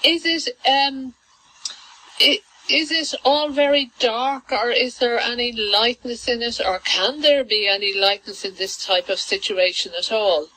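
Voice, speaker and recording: Radio host lady, bright sharp voice, chatty questions. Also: on the high side, fairly even intonation, measured pace, low-quality recording. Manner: conversational, leading questions. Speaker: radio arts show host. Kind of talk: radio interview.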